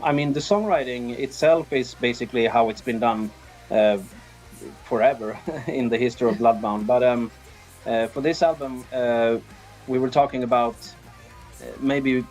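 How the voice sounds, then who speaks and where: A man's voice: medium pitch, darkish tone, lo-fi sound. Swedish-accented man, music behind him. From Sweden. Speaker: band drummer, interview guest. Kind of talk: casual interview.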